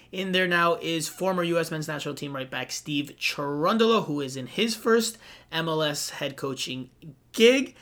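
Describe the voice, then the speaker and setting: A man's voice: sits high, sharp tone. A man hosting a soccer podcast, introducing segments and asking direct, upbeat questions. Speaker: podcast host.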